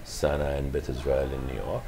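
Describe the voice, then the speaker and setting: A middle-aged man, a physician answering interview questions in a measured, halting way with many fillers and restarts. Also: a bass voice, flat delivery, noisy background. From Pakistan. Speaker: guest, physician.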